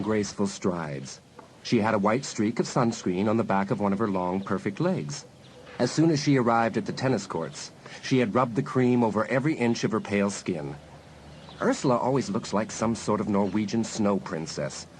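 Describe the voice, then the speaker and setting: A man's voice: on the low side, darkish tone, slight background noise. A man reading scripted story narration as the narrator of an audiobook.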